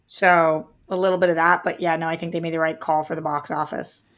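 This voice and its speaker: A woman's voice: low-pitched, little pitch movement, a little fast, a bit bright. A woman co-hosting a casual movie discussion, giving her opinions in an enthusiastic, conversational way. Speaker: co-host of a movie discussion show.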